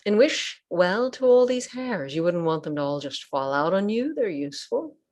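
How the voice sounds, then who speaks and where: A woman leading a guided meditation as a teacher, speaking gently in short, unhurried phrases with pauses. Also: lowish voice, lively intonation. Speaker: Buddhist nun and meditation teacher. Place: online group session over video call.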